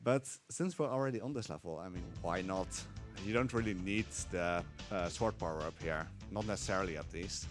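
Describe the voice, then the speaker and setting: A man giving casual live speedrun commentary, explaining haltingly with dry humour. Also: low-pitched, music in the background. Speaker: speedrunner at a gaming marathon.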